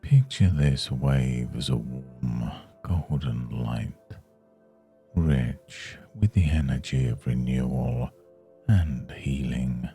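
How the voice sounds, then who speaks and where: A man guiding a yoga nidra meditation in a soothing, hushed, unhurried manner. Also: rumbling low, vocal fry, faint music behind. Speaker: meditation guide.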